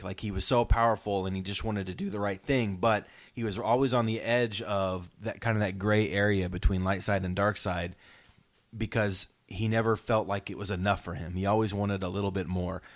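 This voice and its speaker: A man hosting a casual podcast discussion, talking in an explanatory and encouraging way. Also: fairly low pitch. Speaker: podcast host.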